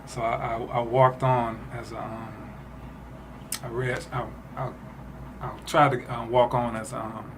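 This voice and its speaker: A man from Detroit in his thirties, a music producer answering interview questions in a halting way, with many fillers and restarts. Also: mid-range voice, level tone. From Detroit, Michigan. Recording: noisy ambience behind voice.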